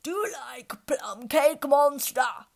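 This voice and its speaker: A lazy, grumbling lion in a storybook, put on by a woman, slow and drowsy, with yawns breaking up his words. He sounds gruff and can't be bothered with anything. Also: sits high, animated, a little mellow, voice pushed up, full character voice. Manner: drawling, yawning, slow. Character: sleepy, grumpy, lazy male lion.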